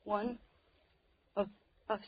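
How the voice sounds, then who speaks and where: An actress playing a frightened young woman pleading haltingly in an old radio horror drama. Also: mid-range voice, level tone, slight background noise. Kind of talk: 1930s radio horror acting. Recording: dull old radio broadcast recording.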